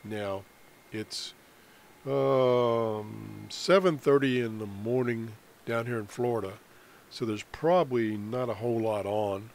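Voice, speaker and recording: A man explains software in an unscripted screencast tutorial, halting often in mid-sentence as he goes. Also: mid-range voice, vocal fry, slight background noise. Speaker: amateur radio hobbyist presenting a tutorial.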